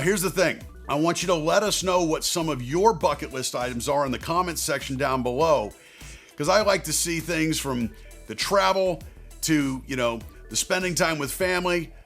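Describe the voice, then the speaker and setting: A man with a slightly high voice talks directly to the viewer as a financial advisor hosting his own video. His delivery is conversational yet emphatic, with deliberately placed phrases. Faint music plays behind him. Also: fairly bright tone. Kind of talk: direct-to-viewer advice talk.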